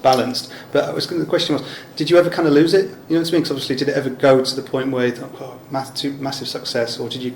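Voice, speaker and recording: A man's voice: mid-range voice, steady tone, very fast, gravelly, few pauses, darkish tone. A man with an English accent asks informal, rambling questions in a casual interview chat. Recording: echoey room, some background noise.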